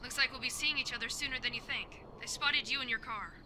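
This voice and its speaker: A woman's voice: high voice, fast, background noise, close to an everyday voice. A woman playing a headstrong, sarcastic young female soldier in an audio drama, with a sassy, combative manner. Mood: teasing.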